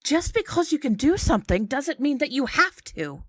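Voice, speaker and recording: A woman's voice: high-pitched, sing-song, moderate pace, a bit bright, no room sound, studio quality. A woman playing a firm, protective friend in an improvised tabletop adventure, scolding and reassuring emphatically. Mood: insistent, protective. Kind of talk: in-character improvised tabletop roleplay.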